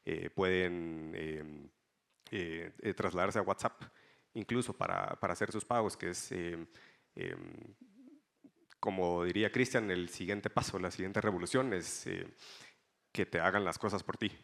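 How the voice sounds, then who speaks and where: Very deep, gravelly-voiced panelist man. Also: fairly even intonation, a little slow, fairly bright tone. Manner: hesitant, many fillers, joking. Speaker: fintech panelist. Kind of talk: conference panel answer. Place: conference stage.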